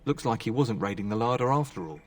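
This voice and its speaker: A man's voice: on the low side, animated, fast, far from the mic. A man playing a wry, easygoing young castle knight in fantasy video game acting, placating in manner.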